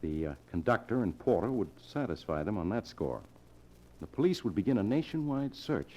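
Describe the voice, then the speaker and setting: An actor playing a cold, controlling younger man who plots murder, in the deliberate, insistent style of 1940s radio drama. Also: lowish voice, big pitch swings, mellow, a little hiss or hum. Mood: coolly scheming. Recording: dull old radio broadcast recording.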